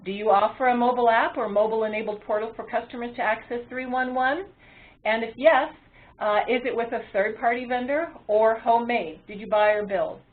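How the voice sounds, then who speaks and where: A woman presenting a webinar as its moderator, explaining to an online audience in a halting delivery with frequent ums and uhs. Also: a little high, fairly even intonation. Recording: muffled, phone-like webinar audio line.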